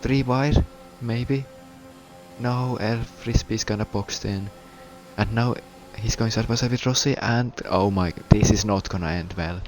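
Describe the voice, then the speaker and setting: A Finnish man commentating a sim race in English, a second language for him, with a halting, stuttering delivery. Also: fairly low pitch, darkish tone, soft background music. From Finland. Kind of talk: live sim racing commentary.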